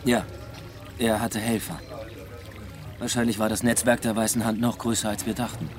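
An actor playing an evasive police detective with a troubled love life in a naturalistic German radio drama. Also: male voice, fairly low pitch, little pitch movement, normal speed, natural voice. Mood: dry, reserved. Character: male police detective, evasive, pleading lover. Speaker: detective character. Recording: radio drama with music underneath.

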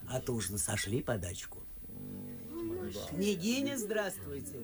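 An old, imperious society aunt grumbles bluntly in a radio drama. Her voice is low for a woman and drawls slowly, rising and falling widely. A crowd murmurs behind her. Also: wildly swooping pitch, heavily acted. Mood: imperious, gossipy. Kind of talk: theatrical radio play acting.

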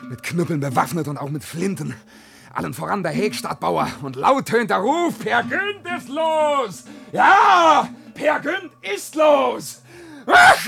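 An actor playing a reckless, boastful young peasant rogue, brashly declaiming in a radio verse drama. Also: male voice, sits high, creaky, sharp tone, heavily acted. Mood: exultant.

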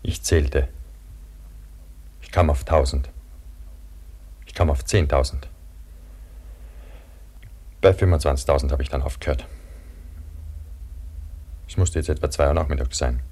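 An actor playing a frightened man buried alive under avalanche snow, halting and brooding, in a German radio play. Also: extremely low pitch, steady tone, mellow, breathy edge, heavily acted. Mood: haunted, numb. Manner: halting, brooding inner narration breaking into desperate calls. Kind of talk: German radio play acting, monologue narration and dialogue.

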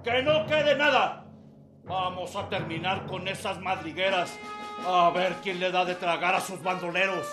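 An actor playing a ruthless, barking federal army general in a radio drama, with a Mexican accent. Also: male voice, high voice, measured pace. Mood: furious, commanding. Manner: barking, commanding. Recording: music and crowd clamour behind voice. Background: dramatic music, crowd noise.

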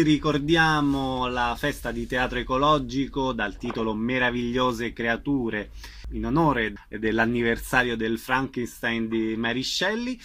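Bright-voiced man hosting radio. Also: mid-range voice. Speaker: radio host and interviewer. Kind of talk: radio interview.

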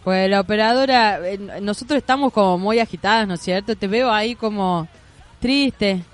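An Argentine woman hosting a studio radio show, chatting in a rambling way with quick asides. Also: mid-range voice, soft background music. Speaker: radio host. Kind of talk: casual studio radio chat. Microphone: studio microphone.

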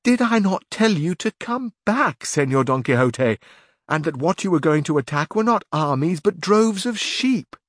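A man voicing a panicky, complaining peasant squire in a storybook reading, his voice pushed high and drawn out. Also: expressive, normal speed, no room sound. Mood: pleading. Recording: dry close recording.

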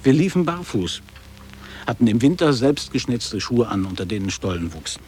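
A man's voice: mid-range voice, very animated, normal speed, a little mellow, soft background music, close to an everyday voice. An actor playing a nostalgic middle-aged man in a radio drama, recalling boarding-school years in clipped, declamatory phrases. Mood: wistful recollection. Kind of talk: literary German radio drama monologue.

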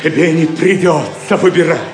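A man acting an imperious, high-voiced villain knight. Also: normal speed, natural voice. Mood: menacing, haughty. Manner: commanding, theatrical. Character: fierce, imperious, battle-worn villain knight. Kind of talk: audio drama acting. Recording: echoey, with prominent music behind.

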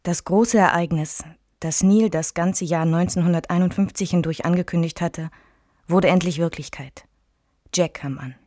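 A woman's voice: on the low side, moderate pace, mellow, faint music behind, natural voice. An actress playing a reflective, restrained woman recalling her married life in a scripted radio drama.

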